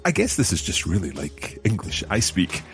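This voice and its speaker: Deep-voiced Scottish man, very animated intonation. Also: moderate pace, soft background music. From Scotland. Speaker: podcast host and language learner. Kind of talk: conversational language lesson podcast.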